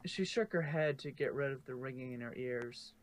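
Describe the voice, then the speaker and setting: A man's voice: mid-range voice, normal speed, slight background noise, poor audio. A man reading scripted fan fiction narration, sometimes stumbling and correcting himself.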